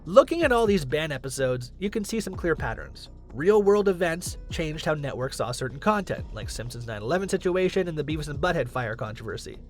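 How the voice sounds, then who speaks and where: A man's voice: on the high side, very animated, a little fast, hardly any pauses, soft background music. A man delivering scripted countdown narration in an explanatory, emphatic way. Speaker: video narrator, list countdown host.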